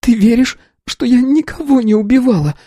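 A man voicing the narrator's young adult sister, who speaks in a high-pitched, emotional way. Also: moderate pace, dark tone, breathy edge, no echo, studio quality. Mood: upset, tearful.

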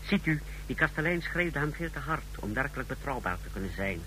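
An actor in a radio drama playing an efficient, deferential officer of a totalitarian lab, explaining in clipped phrases. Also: male voice, mid-range voice, very animated, a little fast, bright, forceful tone, rough recording. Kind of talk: radio drama acting in Dutch. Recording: radio drama with faint noise.

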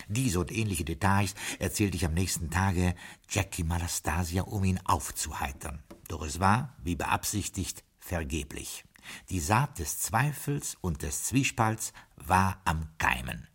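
A man playing a scheming butler who narrates his own plot in an old radio play, with a husky voice and a smooth, sly manner. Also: deep voice, heavily acted. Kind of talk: 1950s radio drama acting.

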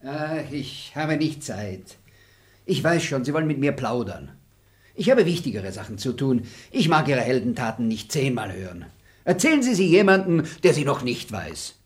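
A man's voice: mid-range voice, very animated, normal speed, close to an everyday voice. A man playing a blustering shifty bailiff. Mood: brushing off. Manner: indignant, swings from muttering to shouting. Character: blustering sly male bailiff, shifty and defensive. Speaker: actor in a radio play. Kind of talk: classic radio drama acting.

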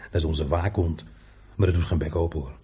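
An actor playing a chatty, jovial unemployed handyman in a radio drama, talking colloquially with a raspy, husky voice. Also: rumbling low, steady tone, moderate pace, warm tone, heavily acted. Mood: gossipy, wry. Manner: talkative, colloquial, chuckling. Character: chatty, jovial unemployed workshop handyman showing a newcomer around.

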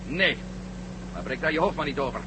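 A male actor plays a confident astronaut in an old radio drama. His somewhat high voice is assertive and drily defiant. Clear noise sits behind the recording. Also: lively intonation, fairly quick, close to an everyday voice. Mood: dry, challenging. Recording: noisy old radio play.

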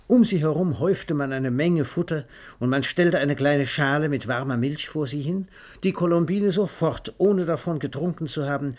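A man narrating a literary radio play in a measured storytelling manner. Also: a little high.

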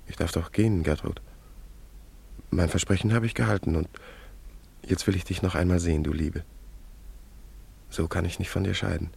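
A man's voice: fairly even intonation, moderate pace, pauses often, darkish tone, full character voice. An actor playing an earnest, lovestruck young man who muses aloud in short, broken phrases in a radio drama. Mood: tender longing. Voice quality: low, gravelly, husky. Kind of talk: German radio drama acting.

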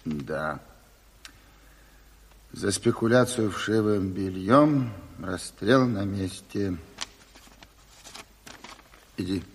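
A man's voice: fairly low pitch, extremely slow, long pauses, a little hiss or hum. An actor playing a stern, decisive revolutionary chairman who gives curt orders in a radio drama. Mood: commanding. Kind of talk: Soviet radio play acting. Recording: long hall reverb on 1970s radio play.

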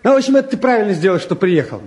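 An actor playing a restless, irreverent young city fellow in a radio play, talking casually and flippantly in slangy phrases. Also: male voice, sits high, big pitch swings, very fast, darkish tone. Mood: breezy, chatty. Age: young adult. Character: breezy, restless, irreverent city youth, friendly and bored. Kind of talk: 1950s radio play acting.

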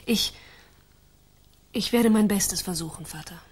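A woman's voice: medium pitch, measured pace, lightly acted. An actress playing a proud, reluctant young noblewoman in a radio drama, formal and cool. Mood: resigned. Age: young adult. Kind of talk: German radio drama acting.

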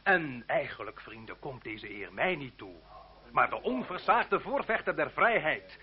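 An actor playing a smooth-talking French student chairman, addressing an assembly in formal, flowery oratory in a radio drama. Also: a little high, very animated, measured pace. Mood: flattering. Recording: dull lo-fi radio recording, crowd. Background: crowd.

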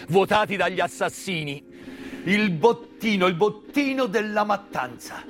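A man delivers a theatrical narrative monologue as a stage storyteller, solemn and dramatic, with repeated words and weighty pauses. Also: fairly high pitch. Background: live musical accompaniment.

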